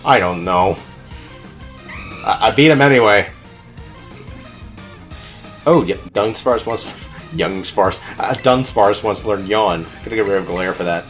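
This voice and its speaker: A man's voice: lowish voice, a little slow, long pauses, fairly bright tone, soft background music. A man hosting a gaming video with casual, chatty and playful gameplay commentary.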